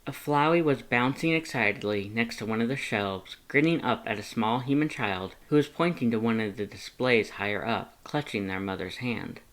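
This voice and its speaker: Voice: medium pitch, moderate pace, hardly any pauses, fairly bright tone. A narrator reading a scripted fan fiction story and voicing its characters.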